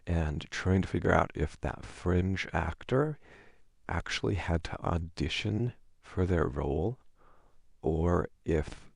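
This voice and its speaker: A man's voice: deep voice, gravelly, a little mellow, no echo, clean studio sound. A man performing a casual boyfriend roleplay, speaking in a hesitant, conversational, self-deprecating way as an awkward, amiable partner.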